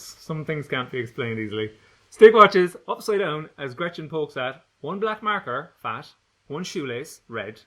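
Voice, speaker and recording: A man narrating a funny children's book in a playful, jokey way. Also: on the high side. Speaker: audiobook narrator, author.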